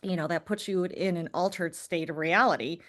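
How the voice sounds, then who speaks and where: A woman's voice: on the low side, steady tone, a little fast. A young woman who wrote a book, speaking casually as an interview guest, her talk full of likes and ums. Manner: chatty, many fillers like um and like. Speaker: author guest.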